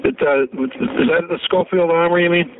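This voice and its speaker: A man working as a police dispatcher, brisk on a noisy, distant phone line. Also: high-pitched, crowd noise.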